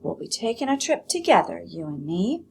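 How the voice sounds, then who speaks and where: A woman voicing a brash, bullying woman from a storybook, who speaks too loudly in a scornful, domineering way. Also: medium pitch, sing-song, normal speed, a little hiss or hum. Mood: menacing. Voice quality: loud, shouted delivery.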